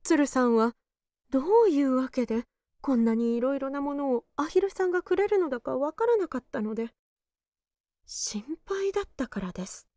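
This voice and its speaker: A woman narrating a children's storybook tale in an animated read-aloud manner. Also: sits high, moderate pace, no room sound. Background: children's background music.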